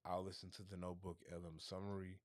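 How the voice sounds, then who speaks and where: A man hosting a casual livestream, talking through his plans in a rambling way and going hoarse. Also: low voice, level tone, quick pace, dry recording, clean studio sound. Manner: rambling, thinking aloud with restarts. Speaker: livestream host.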